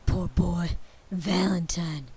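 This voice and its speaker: A woman voicing an old miserly man overcome by childhood memories, with a Southern US accent, loud and breathy, slipping into whispers. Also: fairly low pitch, slow pace, heavily acted. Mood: excited. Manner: excited, breaking into whispers. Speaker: reader.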